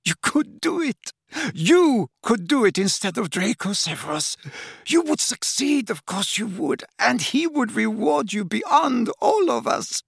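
A woman's voice: mid-range voice, big pitch swings, unhurried, creaky, slightly rough, no room sound, studio quality, voice pushed up, exaggerated voice. A man voicing a desperate weeping mother who pleads in a choked, tearful voice. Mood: pleading. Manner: choked, pleading, near tears. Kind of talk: audiobook character voice.

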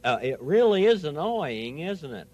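A man's voice: on the high side, sing-song, a little slow, darkish tone. A man playing a fussy, easily bothered male owl puppet in a children's show, with a folksy, insistent manner. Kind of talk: children's television puppet play.

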